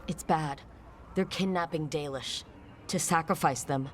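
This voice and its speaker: An actress playing a determined, serious game heroine, speaking tersely and earnestly. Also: female voice, low-pitched, a little slow, a little hiss or hum. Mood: grave, urgent. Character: determined adult female adventurer leading a rescue, serious, steady. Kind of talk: video game voice acting.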